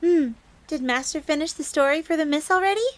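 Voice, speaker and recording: A woman's voice: high-pitched, fairly quick, dry recording, close to an everyday voice. A woman voicing a sweet, eager young fox maid in an audio drama, speaking deferentially and referring to herself in the third person. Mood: cheerful. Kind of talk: audio roleplay drama acting.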